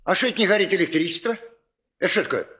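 A man's voice: sits high, lively intonation, very fast, pushed and bright, a little hiss or hum. An actor playing a bustling, good-natured old father in a village, with folksy, fussing speech, in a 1960s Soviet radio drama.

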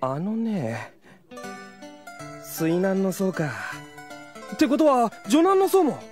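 Woman as high school boy, anime radio drama, hopeful, easily flustered, animated intonation, music underneath. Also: slow pace. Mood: uneasy. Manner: casual, boyish, expressive. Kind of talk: scripted Japanese anime-style audio drama acting.

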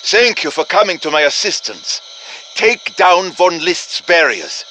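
An actor playing an urgent, commanding professor who briefs soldiers in a deliberate, dramatic manner, as video game voice lines. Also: male voice, high-pitched, big pitch swings, bright, forceful tone, slight background noise, exaggerated voice. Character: urgent, commanding male professor guiding soldiers against demons. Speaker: game character voice, mission guide.

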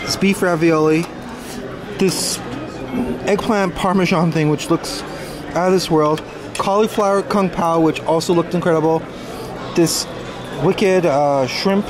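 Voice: mid-range voice, fairly even intonation, unhurried, pauses often, a crowd in the background. A travel vlogger chatting casually and enthusiastically while showing the surroundings. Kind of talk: casual walk-around travel commentary.

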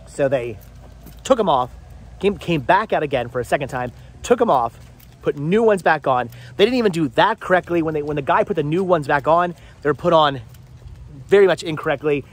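A man in his thirties vlogging outdoors with the phone at arm's length, talking fast and rambling in an exasperated way, often repeating words. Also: on the high side, lively intonation. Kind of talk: frustrated life-update vlog. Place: outdoors in a house yard. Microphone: phone camera held at arm's length.

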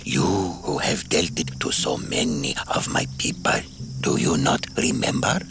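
Babalachi, a gravelly old one-eyed schemer. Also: male voice, sits low, big pitch swings, noisy background, exaggerated voice. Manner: slow, cryptic, brooding menace. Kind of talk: full-cast audio drama.

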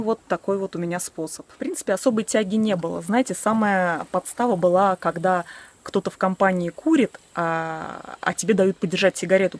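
Chatty woman in her forties outdoors. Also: lowish voice. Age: 40s. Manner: chatty and candid, with self-mocking laughs. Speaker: vlogger. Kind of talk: casual talk to camera. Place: outdoors by a wooden shed in a garden. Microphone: selfie stick camera at arm's length.

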